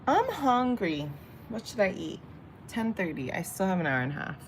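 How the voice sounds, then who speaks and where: Gravelly young woman streaming casually. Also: medium pitch, very animated, moderate pace. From San Diego, California. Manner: chatty, rambling, talks to viewers while doing makeup. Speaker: livestreamer and tarot reader. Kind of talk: casual livestream chat. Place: home in Vietnam. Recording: faint background noise.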